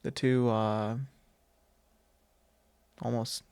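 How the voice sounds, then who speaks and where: A man playing a courteous, confiding vampire lord in a soft, intimate ASMR roleplay, speaking solemnly and tenderly in measured, formal, hesitant phrases close to the microphone. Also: mid-range voice, little pitch movement, smooth, dry recording.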